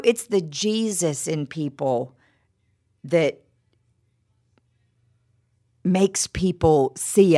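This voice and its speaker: A middle-aged woman giving a devotional talk with personal stories, her voice froggy from a cold, chatty and full of stammered repeats and "you know". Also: lowish voice.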